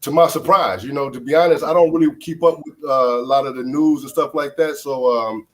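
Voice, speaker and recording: A man's voice: medium pitch, a little fast, fairly bright tone. A middle-aged man from Chicago, a former baseball player guesting on a show, talking in a laid-back way with a stuffy, nasal voice from a flu. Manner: laid-back, casual, many fillers.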